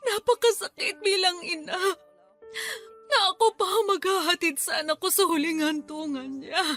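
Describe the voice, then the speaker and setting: A woman's voice: very high-pitched, normal speed, a bit bright, faint music behind. An actress playing a tearful, grieving elderly grandmother in a Filipino-accented Tagalog radio drama. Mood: grief-stricken. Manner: tearful, emotional delivery.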